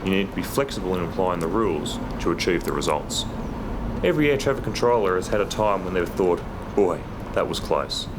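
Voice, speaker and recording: An Australian man working as an air traffic controller, explaining his job in a measured, matter-of-fact way. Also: low-pitched, expressive. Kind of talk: career profile narration with radio phraseology.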